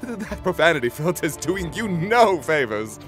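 A man's voice: on the high side, very animated, normal speed, over music. A man voicing a smug, pompous fairy king villain in an anime parody dub, with a theatrical manner. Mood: indignant.

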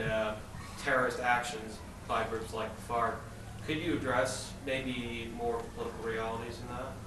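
A man in the audience asking a measured question. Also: mid-range voice, background noise. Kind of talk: question from the floor. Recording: very echoey, far from mic.

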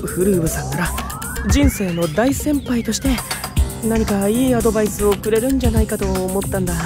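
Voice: mid-range voice, a little fast, over music, natural voice. An actor playing a shy, lovesick young male poet in a Japanese audio drama, earnest and overdramatic. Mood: bashful. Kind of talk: Japanese anime-style audio drama acting.

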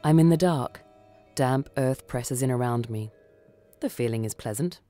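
A woman reading a short story for radio in a theatrical, deliberate, dramatic narrating style. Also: extremely low pitch, loud music behind the voice.

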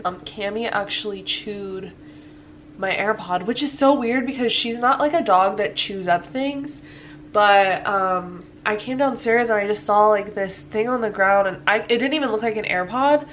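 A woman's voice: medium pitch, a bit bright, slight background noise. A woman in her twenties chatting casually to camera in a home vlog, a little stuffy-sounding, with lots of fillers and little laughs. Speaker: lifestyle vlogger. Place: living room at home.

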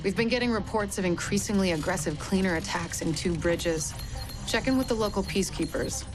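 A woman's voice: medium pitch, brisk, music in the background, lightly acted. A woman playing a focused agent who delivers a businesslike, directive mission briefing in a video game. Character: focused female agent briefing the field team.

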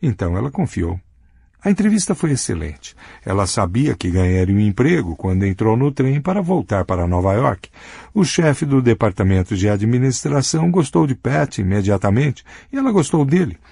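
A man with a husky voice reading a novel aloud as an audiobook narrator, giving characters small voice shifts in dialogue. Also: medium pitch, sing-song, normal speed, warm tone, dry recording, studio quality. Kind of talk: scripted narration.

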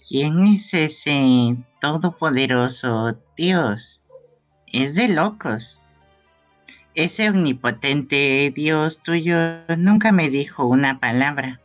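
Man doing a slow, morbid gravedigger boy. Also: fairly high pitch, animated, soft background music. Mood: questioning. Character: theatrical pumpkin-headed boy gravedigger, morbidly courteous, wistful. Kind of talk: fan game dubbing.

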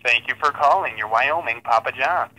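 A man reads a scripted phone greeting in an extremely bright, sharp voice. His pitch is average, and it plays over a phone speaker. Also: normal speed, low-quality recording. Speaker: pizza chain phone announcer. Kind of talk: scripted hold message.

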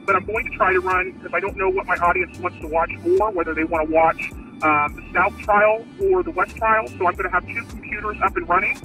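Woman streamer chatting in the car, bright punchy voice, little music behind, casual update. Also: on the low side, brisk, poor audio. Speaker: livestream channel host. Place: inside a car, in the driver's seat.

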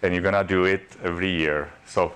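A man's voice: a little fast, clean tone. A man in his forties with a Czech accent, giving a chatty, self-mocking talk at a workshop, heard from a camera at the back of a classroom. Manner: chatty, self-mocking, rises in pitch when animated. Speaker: workshop presenter. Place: classroom in front of a projection screen. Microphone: far camera.

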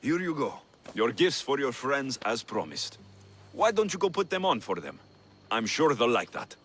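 A man's voice: mid-range voice, very animated, normal speed, fairly bright tone, slight background noise. A man playing a blunt yet protective mercenary hero in a video game, speaking in a firm, plain-spoken way. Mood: gentle. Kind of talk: video game cutscene dialogue.

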